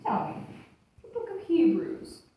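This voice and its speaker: A woman's voice: high-pitched, wildly swooping pitch, measured pace, dull audio, exaggerated voice. Lady doing a talking bible puppet, scolding and preachy, really swoopy voice, far off in an echoey room. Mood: stern teaching. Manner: admonishing, instructive, emphatic. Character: talking dusty Bible, bossy, reproachful teacher. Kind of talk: church puppet show dialogue. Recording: echoey room, distant mic, faint noise.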